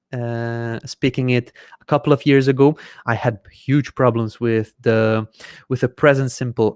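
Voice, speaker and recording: Man, 30s, native Hungarian speaker; clear, smooth tone, dry close desk-mic sound; patient step-by-step Q&A host. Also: medium pitch, dry recording. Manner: explains step by step while clicking through software. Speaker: host answering viewer questions. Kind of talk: live Q&A with screen-share demonstration. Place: small indoor room at a desk. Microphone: desk microphone in front of face.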